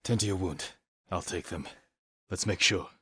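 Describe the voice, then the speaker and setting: A husky, fairly low male voice as a stoic samurai in a dramatic video game. He speaks in terse, measured phrases with grave determination. The sound is close and dry. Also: bright, forceful tone, no echo, heavily acted. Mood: grave, focused. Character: stoic, determined samurai warrior, adult man.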